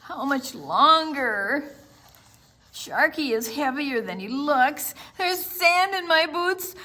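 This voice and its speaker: A woman voicing an excitable, impatient little boy in a picture-book read-aloud, pleading and repeating himself in a whiny, breathless way. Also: high voice, pitched above the performer's own voice, heavily acted.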